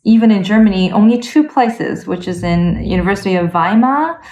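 A woman's voice: mid-range voice, expressive, fairly quick, darkish tone, poor audio. Young woman musician, thoughtful and hesitant. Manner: thoughtful, hesitant, many fillers and restarts. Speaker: interview guest, cellist. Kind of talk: casual conversational interview.

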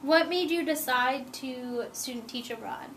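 High-voiced woman interviewer, unhurried. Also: fairly bright tone, a little hiss or hum. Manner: brief encouraging backchannels.

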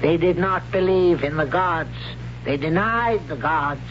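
A man playing a stern, zealous old high priest. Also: unhurried, natural voice. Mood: solemn. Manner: solemn, commanding, preaching. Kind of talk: 1970s radio drama acting. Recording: dull lo-fi old radio broadcast with hiss.

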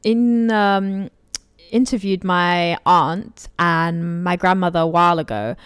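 A woman's voice: lowish voice, takes it slowly, clean tone, no room sound, clean studio sound. A woman interviewer talking in a casual, conversational way with fillers like "like" and "um".

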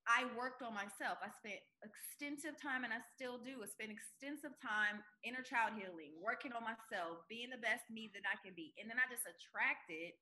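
A woman in her forties, a guest entrepreneur telling her story in an emphatic, animated way on a podcast interview. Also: a little high, moderate pace, hardly any pauses. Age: 40s.

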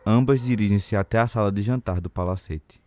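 A man's voice: lowish voice, fairly quick, a little mellow. A man with a Brazilian accent narrating a period novel as scripted audio drama.

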